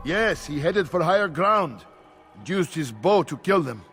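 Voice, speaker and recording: A man playing a gruff, blunt guard in a video game cutscene. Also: on the high side, very animated, measured pace, a bit bright, in a large hall, exaggerated voice. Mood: stern.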